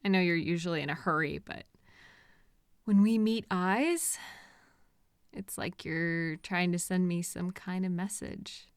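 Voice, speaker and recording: Woman acting a slow-talking, obsessive female kidnapper. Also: fairly low pitch, smooth, dry recording, clean studio sound. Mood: intimate, wistful. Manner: slow, coaxing, patient, condescending, hesitant pauses. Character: obsessive, possessive female kidnapper, soothing and delusional. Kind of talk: intimate roleplay audio drama monologue.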